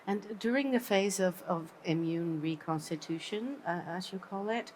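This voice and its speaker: A woman's voice: medium pitch, moderate pace, darkish tone, slight background noise. A woman from the Netherlands interviewing a guest on a conference studio set, affirming often and searching for her phrasing.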